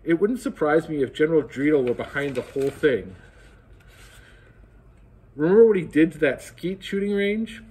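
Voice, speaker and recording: A man's voice: a little high, lively intonation, moderate pace, pauses often, darkish tone, breathy edge, pitched above the performer's own voice, poor audio. A man reading as a fussy pompous colonel. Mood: suspicious.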